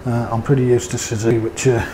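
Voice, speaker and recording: Man, mid-pitched, casual rambling review, ums and uhs, noisy background hiss. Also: level tone, quick pace, warm tone. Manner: chatty, hesitant with fillers. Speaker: motovlogger.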